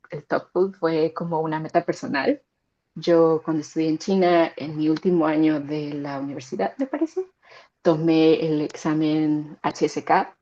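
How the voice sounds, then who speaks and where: A woman's voice: deep voice, mellow. A young adult woman giving casual, conversational advice as a guest, often repeating words as she searches for them. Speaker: guest sharing learning experience. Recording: dull lo-fi sound.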